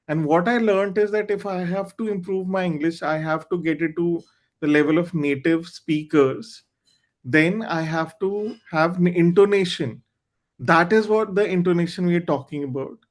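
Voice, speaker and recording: A man with a high voice hosts a club meeting over a video call. His is Hindi, and he talks in an earnest, candid way, reaching for words with small fillers. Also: normal speed. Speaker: meeting host. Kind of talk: online club meeting talk.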